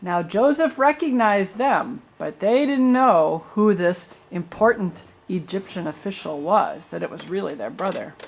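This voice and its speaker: A woman's voice: mid-range voice, expressive, a little slow, few pauses, a little hiss or hum. A woman narrating a puppet show, telling the story with colloquial asides.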